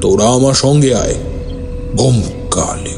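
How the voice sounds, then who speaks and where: A man's voice: medium pitch, takes it slowly, creaky, music in the background. A man playing a fierce, commanding tantric holy man in an audio horror drama. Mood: angry, commanding.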